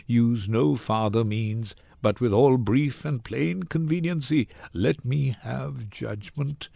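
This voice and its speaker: A man's voice: medium pitch, slow pace, creaky, dark tone, dry recording. A man acting a resigned, stoic merchant with solemn, rhetorical delivery in a Shakespearean dramatic reading. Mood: bitter resignation. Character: resigned, stoic merchant Antonio, bitterly patient.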